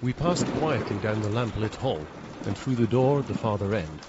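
An actor playing an earnest, formal young gentleman with an English accent in a radio drama. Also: fairly low pitch, moderate pace, very noisy, natural voice. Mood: measured storytelling. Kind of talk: radio drama narration and dialogue. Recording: dull radio recording under loud music.

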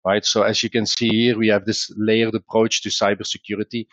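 Belgian guy talking through a webinar, lowish voice, dull dry sound, lots of uhs. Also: flat delivery, fairly quick, a bit bright, dry recording. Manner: explanatory, conversational, with frequent "uh" and restarts. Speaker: company founder presenting a webinar. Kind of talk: webinar presentation.

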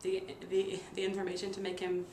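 A woman's voice: mid-range voice, completely flat delivery, darkish tone. Young woman with a pronounced stutter. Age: 20s. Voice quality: pronounced stutter with repeated sounds and blocks. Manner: halting, with frequent fillers. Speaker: nursing student and stuttering therapy client. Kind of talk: casual personal storytelling to camera. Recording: roomy echo with noticeable background noise.

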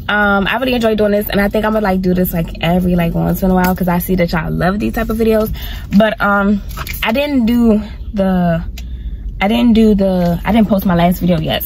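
A woman's voice: mid-range voice, a little mellow, a little hiss or hum. A young woman vlogging from her car, chatting casually and swearing freely as she talks to her viewers. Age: young adult. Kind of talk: casual chat while driving. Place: inside a car. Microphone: phone camera on the dashboard. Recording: music playing under the voice. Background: music playing in the car.

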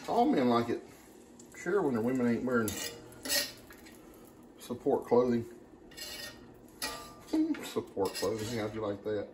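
A man's voice: mid-range voice, big pitch swings, slow, pauses often. A man hosting a casual home cooking video, chatting playfully and using pet names. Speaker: cooking show host. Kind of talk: casual chat while cooking. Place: indoor home kitchen. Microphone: camera a few feet away on counter. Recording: roomy kitchen, some background noise.

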